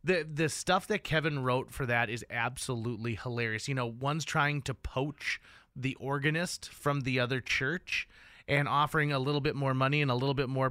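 A man with a bright, punchy voice works as a radio host in a dry studio. He talks in an upbeat, enthusiastic way and leans on key words with emphasis. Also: mid-range voice, no echo. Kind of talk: upbeat radio interview chat. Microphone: close studio microphone.

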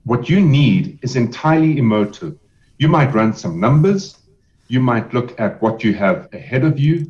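A man advising on selling a business, speaking in a measured, explanatory way with emphatic repetition. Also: medium pitch, a little mellow, highs cut off. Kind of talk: podcast interview answers.

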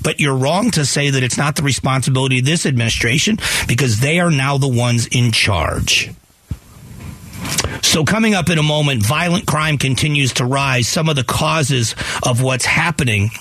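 A man's voice: a little high, a bit bright, breathy edge, no echo. An American man in his fifties from Florida, hosting a talk radio show with opinionated monologues that repeat phrases for emphasis. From Florida, United States. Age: 50s. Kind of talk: conversational radio monologue on news. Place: radio studio.

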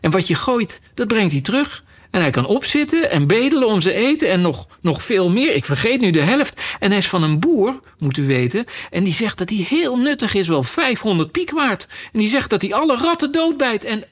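A man's voice: high voice, expressive, moderate pace, gravelly, voice pushed up, heavily acted. A man doing a chatty, polite young girl. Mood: eager. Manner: eager, rambling, apologetic.

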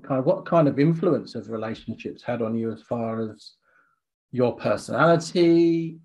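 A man's voice: medium pitch, darkish tone, rough recording. A man hosting a podcast interview, asking long questions with many false starts. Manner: halting questions with many restarts.

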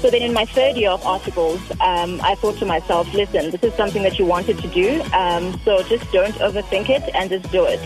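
South african woman, twenties, phone interview, measured with lots of ums, faint music behind. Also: medium pitch, poor audio. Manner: measured, frequent um fillers. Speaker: guest. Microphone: phone line.

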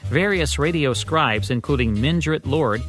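A man's voice: on the high side, lively intonation, measured pace, a bit bright, faint music behind. An American man reading scripted narration as co-host of a podcast. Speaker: co-host of old-time radio podcast.

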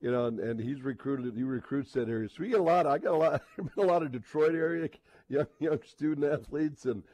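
A man hosting radio sports talk, thinking aloud in a casual, halting, rambling way with repeated words. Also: mid-range voice, a little mellow, dry recording. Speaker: sports radio host, live on location.

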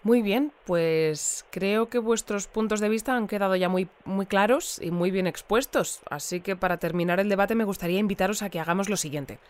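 An actress with a Spain Spanish accent playing a polite, composed news presenter in an audio fiction. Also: female voice, mid-range voice, normal speed, hardly any pauses, natural voice. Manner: polite, clear presenter delivery. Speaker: news presenter and debate moderator. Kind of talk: scripted newscast in audio fiction.

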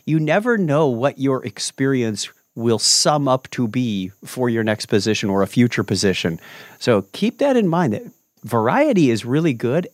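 A man's voice: mid-range voice, no room sound, studio quality. A man hosting a podcast in a casual, joking interview chat, given to wandering off on tangents. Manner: lively, jokey, tangent-prone. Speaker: podcast host.

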